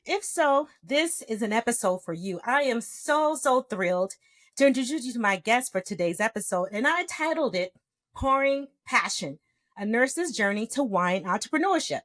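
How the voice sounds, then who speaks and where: A woman's voice: on the high side, lively intonation, normal speed, a bit bright, dry recording. A middle-aged woman, a nurse practitioner, hosting a podcast with a formal, enthusiastic scripted intro and then interviewing a guest. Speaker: podcast host.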